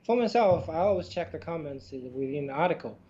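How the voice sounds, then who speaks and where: A man whose first language is Chinese chats in hesitant, filler-heavy English as a podcast co-host. His voice is mellow and dark. The recording sounds a little dull and lo-fi. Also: a little high, expressive, fairly quick. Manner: non-native English, hesitant, many fillers. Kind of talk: casual podcast chat.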